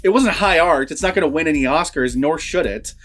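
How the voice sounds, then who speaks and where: A man's voice: a little high, a little fast, a bit bright, faint music behind. A man giving his opinions in a casual, conversational, unscripted review chat. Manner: conversational, opinionated, says "like" often. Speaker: film review vlogger.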